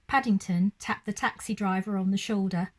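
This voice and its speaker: A woman's voice: mid-range voice, steady tone, moderate pace. A woman telling a children's story expressively, like a teacher reading aloud.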